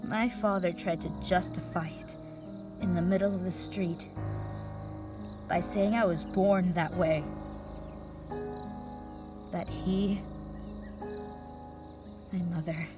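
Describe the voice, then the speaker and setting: Vengeful, scarred female mage, woman actor: lower register, deliberate emotive monologue for audio roleplay, clear music bed. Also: fairly even intonation. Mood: cold, resolute. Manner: emotive, deliberate, halting with pauses. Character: traumatized, vengeful female mage recounting her past.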